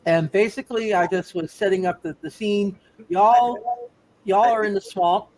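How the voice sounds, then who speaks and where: A man's voice: high voice, moderate pace, darkish tone, rough recording. A man narrating a live tabletop roleplaying game as game master, casually rambling and repeating his phrases.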